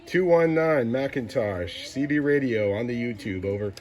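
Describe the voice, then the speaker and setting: Through heavy static and hiss comes a man with a medium-pitched voice, making CB radio calls. He speaks in clipped slang and repeats callsigns and numbers as an operator does. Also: expressive, a little slow. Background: loud radio noise.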